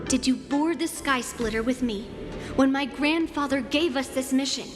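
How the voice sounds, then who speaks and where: A woman playing a confident, blunt but caring young girl swordswoman in a video game, brisk and commanding. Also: sits high, natural voice. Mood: encouraging, earnest. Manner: brisk, commanding, self-assured. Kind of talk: video game character acting. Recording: long reverb, music behind.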